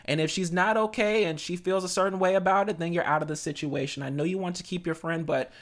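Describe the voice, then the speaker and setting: A man's voice: high-pitched, fairly even intonation, quick pace, a bit bright. A man hosting a podcast, giving casual advice with lots of "you know" and "right?" asides. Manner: conversational, coaching, many "you know" and "right?" tags.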